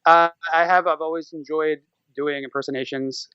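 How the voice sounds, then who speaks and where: A man's voice: fairly high pitch, fairly even intonation, normal speed, bright, forceful tone, smooth, dry recording, muffled recording. A man chatting casually as a podcast guest, rambling playfully as he tells stories. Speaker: podcaster and amateur voice actor. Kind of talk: casual interview chat.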